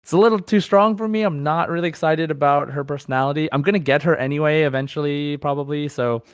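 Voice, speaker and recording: A man's voice: on the high side, fast talker, clean tone, dry recording, clean studio sound. A man hosting a gaming podcast, chatting casually and informally with a fan's enthusiasm. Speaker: podcast host.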